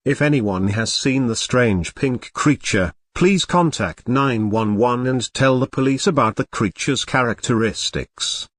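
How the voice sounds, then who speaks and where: A man playing a government emergency alert announcer, reading a formal, impersonal and deliberate broadcast script. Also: medium pitch, a bit bright, no room sound. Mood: formal, grave.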